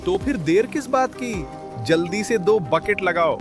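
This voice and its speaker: A man plays an excitable village customer in a cartoon tale. He exclaims in a high, swooping voice. Music is clearly audible behind him. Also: a little slow. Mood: surprised, eager. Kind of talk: animated story acting.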